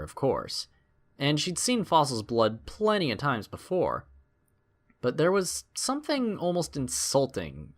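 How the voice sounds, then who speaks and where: A male narrator reads an adventure story aloud in a dramatic, scripted style. His voice sits at a medium pitch and moves up and down with lively intonation.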